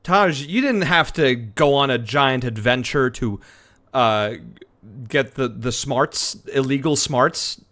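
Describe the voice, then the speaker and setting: A man's voice: medium pitch, slow, fairly bright tone. A man doing casual, joking game commentary as a streamer, sweary and mock-dramatic.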